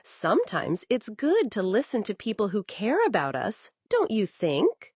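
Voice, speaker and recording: A woman telling a scripted bedtime story, expressive and coddling, as if speaking to a young child. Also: mid-range voice, measured pace, slightly rough, no room sound.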